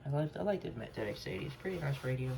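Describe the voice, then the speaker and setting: A man giving a casual, unscripted show-and-tell as a hobbyist collector, rambling and halting as he corrects himself. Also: mid-range voice, big pitch swings, gravelly, noisy background.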